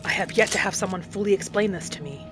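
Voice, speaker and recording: A woman's voice: mid-range voice, fast talker. Earnest woman roleplaying over music. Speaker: gameplay roleplayer. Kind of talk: in-character roleplay commentary. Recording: game music behind the voice. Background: game music.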